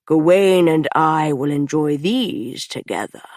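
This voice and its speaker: A woman voicing a calm, kindly bear creature who cooks for the group, in a low reassuring voice. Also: slow pace, no echo, studio quality, heavily acted. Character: calm, kindly bear-folk camp cook.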